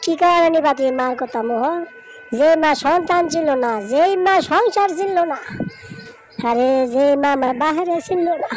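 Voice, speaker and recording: A woman's voice: sits high, moderate pace, soft background music, lightly acted, poor audio. A woman voicing a protective, emotional elder sister in a cartoon drama, emphatic and repetitive, with a rural Bangladeshi accent. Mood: upset, imploring.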